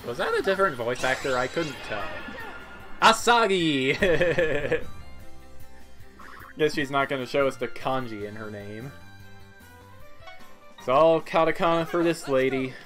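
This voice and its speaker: A man's voice: mid-range voice, lively intonation, normal speed, fairly bright tone, soft background music. A man hosting a gameplay video, giving casual, offhand and joking commentary.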